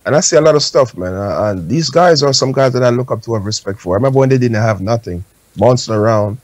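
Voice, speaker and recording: A man's voice: medium pitch, animated, fast talker, no echo. Dry-sounding Jamaican man, conversational. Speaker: talk show guest. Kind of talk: casual radio discussion.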